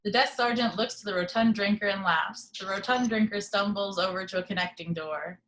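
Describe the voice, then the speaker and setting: A woman narrates scene headings and action from a script. Her voice is of medium pitch, in the scripted reading style of an amateur table read. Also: a little fast, fairly bright tone, rough recording.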